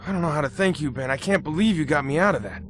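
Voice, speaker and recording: A man playing an earnest, grateful teenage boy ally in an animated video game, hesitant but eager. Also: on the high side, racing, over music, close to an everyday voice.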